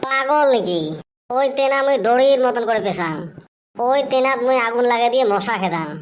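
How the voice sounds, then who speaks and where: A man voicing a cheeky, stubborn, thrifty young village lad in a cartoon comedy, with a pitch-raised voice and a northern Bengal and western Assam accent. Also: moderate pace. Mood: stubborn, matter-of-fact. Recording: clear background noise.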